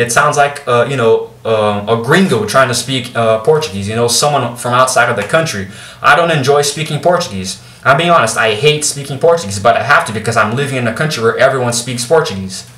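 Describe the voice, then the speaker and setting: A man's voice: a little high, sharp tone. Blunt young man with an American accent. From Brazil. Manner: blunt, exasperated, swears, fumbles words. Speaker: YouTuber. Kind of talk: unscripted vlog rant. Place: room indoors, talking to camera. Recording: roomy echo, a bit far from the mic.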